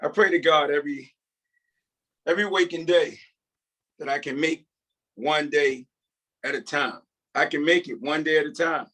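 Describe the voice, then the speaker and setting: A man playing a humble, grateful, devout ex-prisoner in a script table read, sincere and halting. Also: a little high, a bit bright. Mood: earnest. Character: earnest, grateful, devout male ex-prisoner starting over.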